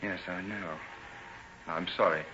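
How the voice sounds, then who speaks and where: An actor playing an earnest, honourable gentleman and rival in love, speaking directly in a theatrical old-time radio style. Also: sits low, steady tone, a little slow, music in the background. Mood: softened, sincere. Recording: dull, noisy old radio recording.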